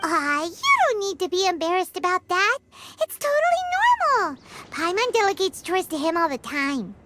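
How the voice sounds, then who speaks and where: Game mascot fairy: childlike, eager, very high and wildly animated. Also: female voice, normal speed, heavy background noise, exaggerated voice. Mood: reassuring. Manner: refers to self by name, chirpy, eager. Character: small excitable floating fairy companion, childlike girl. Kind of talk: video game character acting.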